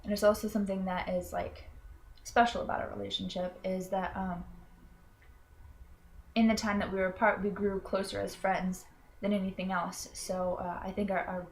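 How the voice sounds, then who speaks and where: Young woman, college student, hesitant, lots of ums, mid-pitched voice. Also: level tone, normal speed, a little hiss or hum. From Florida. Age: young adult. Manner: hesitant, full of ums and restarts; earnest and self-conscious. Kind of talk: personal explanatory video.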